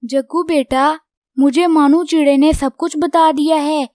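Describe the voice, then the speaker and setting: A woman's voice: high voice, steady tone, a little slow, darkish tone, no room sound, clean studio sound. A woman voicing a concerned mother crow in a children's cartoon, motherly and questioning. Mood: worried. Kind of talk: Hindi children's cartoon dubbing.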